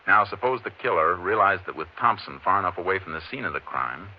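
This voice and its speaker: Very deep-voiced, methodical Texas Ranger. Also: male voice. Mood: pondering, deliberate. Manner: terse, measured, matter-of-fact. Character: steady, methodical male Texas Ranger investigator. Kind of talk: 1950s radio western detective drama acting. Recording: dull, noisy old 1950s radio recording.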